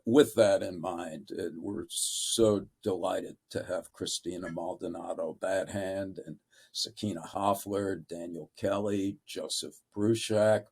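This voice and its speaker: A man moderating a panel discussion, polite and deliberate in his phrasing. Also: low voice, fairly even intonation, creaky, a little mellow, breathy edge.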